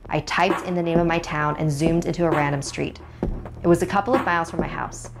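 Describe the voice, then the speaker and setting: Low-voiced woman narrating horror over music. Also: gravelly. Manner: suspenseful, expressive delivery. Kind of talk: dramatic first-person horror story narration.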